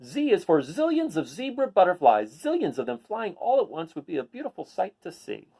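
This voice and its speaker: Lively man reading aloud. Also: high-pitched, fairly quick, gravelly, breathy edge. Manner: asks listeners questions. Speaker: read-aloud host. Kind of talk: reading a children's picture book aloud with casual asides.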